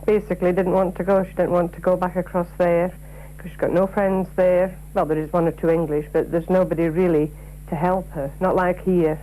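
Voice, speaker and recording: Woman, casual interviewee, monotone, mellow timbre, slightly noisy old broadcast. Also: on the low side, a little fast. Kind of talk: casual unscripted interview talk.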